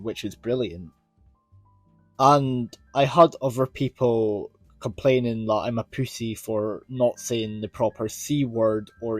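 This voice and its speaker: A man's voice: medium pitch, darkish tone, dry recording. A man with a Scottish accent talking casually to his viewers as a YouTuber, in an earnest, rambling way that circles back over points. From Scotland. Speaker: YouTuber reviewing children's TV shows. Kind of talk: casual talk to viewers about own channel.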